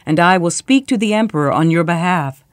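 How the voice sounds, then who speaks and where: Woman as a shrewd royal minister, lively and pointed. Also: lowish voice, a little fast, dry recording, studio quality, lightly acted. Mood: urgent. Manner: pointed, rhetorical questioning.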